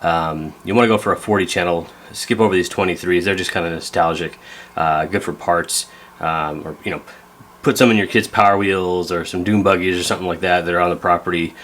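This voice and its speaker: A man with a low-pitched voice hosts a casual repair vlog. He rambles along in a folksy, easygoing way, as if tinkering while he talks. There is noticeable workshop noise behind him. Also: fairly bright tone. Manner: rambling, folksy, laid-back. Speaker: host of a radio repair video.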